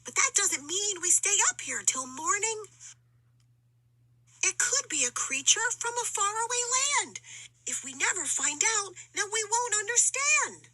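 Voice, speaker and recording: Woman as a bright, gentle storybook elephant. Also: extremely high pitch, sing-song, a little slow, slight background noise, voice pushed up, heavily acted, low-quality recording. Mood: thoughtful. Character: gentle, thoughtful big male storybook elephant, kindly. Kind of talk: children's picture-book character reading.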